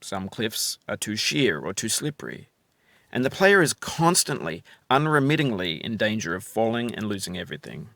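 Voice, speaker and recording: A middle-aged man narrating a game in a calm, musing and wry way, reciting quotations and verse. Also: on the low side, moderate pace, gravelly, no room sound. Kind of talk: scripted reflective game narration.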